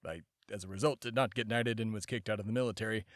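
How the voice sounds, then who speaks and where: A man's voice: fairly low pitch, fairly even intonation, quick pace, no room sound, studio quality, close to an everyday voice. A man playing a bombastic, self-important immortal embodiment of America in a comedy podcast monologue. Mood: smug. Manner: pompous, shouting announcer bursts, rambling asides.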